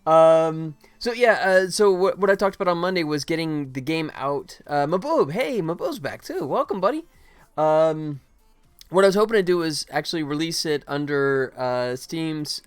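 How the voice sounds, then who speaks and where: Smooth, higher-voiced man chatting on a livestream. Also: dry recording. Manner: friendly, self-deprecating. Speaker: game developer live streamer. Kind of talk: casual livestream chat to viewers.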